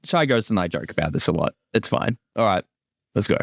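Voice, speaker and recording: A man's voice: on the low side, expressive, brisk, vocal fry. A man giving a casual, jokey creator's commentary with informal language and some swearing.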